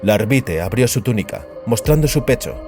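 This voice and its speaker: A man's voice: mid-range voice, animated, brisk, darkish tone, faint music behind. A man giving a dramatic narration of scripted fiction as an audiobook narrator.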